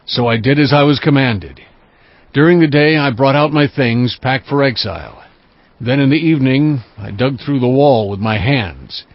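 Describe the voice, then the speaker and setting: A man's voice: medium pitch, no echo, natural voice. Earnest prophet, read by a man, slightly muffled. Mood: solemn, recounting. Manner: measured, solemn storytelling. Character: earnest male prophet recounting his visions. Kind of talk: dramatized scripture narration. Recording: slightly dull audio with music behind.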